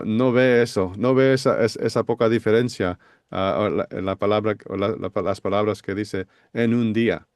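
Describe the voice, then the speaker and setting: A man's voice: on the low side, darkish tone, clean tone, no echo, studio quality. A man explaining Bible passages on a podcast, hesitant with many fillers. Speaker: Bible teacher.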